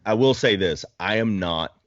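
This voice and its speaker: A man's voice: on the low side, normal speed, fairly bright tone, highs cut off. A man chatting casually on a podcast, rambling and self-deprecating with jokes. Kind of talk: casual chat.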